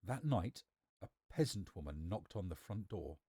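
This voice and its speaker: A man's voice: low voice, sing-song, moderate pace, warm tone, slightly rough, no echo, studio quality. A man reading a storybook aloud as a theatrical, emphatic narrator.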